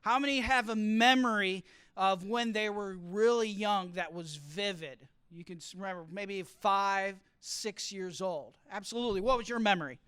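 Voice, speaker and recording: A man giving a lively teaching talk to students, often asking the room questions as he explains. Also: high-pitched, normal speed, smooth, no room sound. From grew up partly in Costa Rica. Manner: asks the audience questions, explains with extended metaphor. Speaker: college speaker teaching study skills. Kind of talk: interactive classroom talk.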